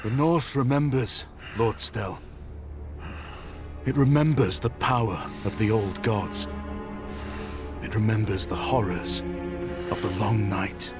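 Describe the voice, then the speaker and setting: A male actor playing a solemn, steadfast young heir of a noble house, speaking in a measured, formal way in a fantasy game. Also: fairly low pitch, soft background music.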